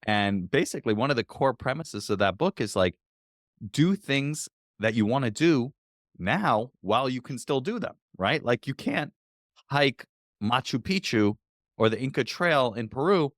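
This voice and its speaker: A man's voice: medium pitch, expressive, measured pace, no echo, clean studio sound. A man hosting a podcast interview, upbeat and encouraging as he prompts his guest. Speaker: podcast host. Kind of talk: interview conversation.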